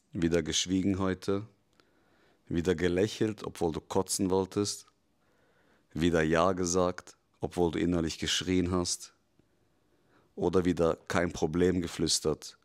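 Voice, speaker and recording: A man as a calm, low-voiced devil. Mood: taunting. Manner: measured, intimate, softly menacing. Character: calm confiding male devil, cynical, mocking. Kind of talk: scripted dramatic monologue.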